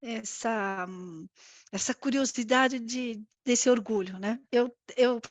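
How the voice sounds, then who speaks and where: A woman in her fifties with an Argentine accent and Spanish as her native language, giving a conversational, reflective interview answer as a guest, often pausing to search for words. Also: fairly high pitch, no echo. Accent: Argentine accent in Brazilian Portuguese. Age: 50s. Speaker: interview guest, risk management executive.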